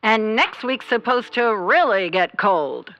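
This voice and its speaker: A folksy sidekick announcer in a comedic radio drama. The voice is bright and swings widely in pitch, wry and casual, recorded dry. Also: expressive, slow, no room sound, dull audio, exaggerated voice. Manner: casual, folksy, reacting wryly.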